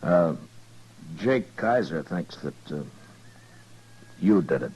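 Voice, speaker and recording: A man acting a calm, dogged frontier marshal in a 1950s radio western, speaking in a halting, understated, dry way. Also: low voice, breathy edge, exaggerated voice. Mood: firm, grave. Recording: noisy vintage radio drama recording.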